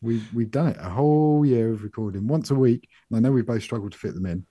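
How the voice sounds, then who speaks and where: A middle-aged British man co-hosting a podcast, chatting casually in a soft, rambling way. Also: medium pitch, fast talker, slightly rough, dry recording. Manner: soft-spoken, relaxed and rambling. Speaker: podcast co-host. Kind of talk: casual chat.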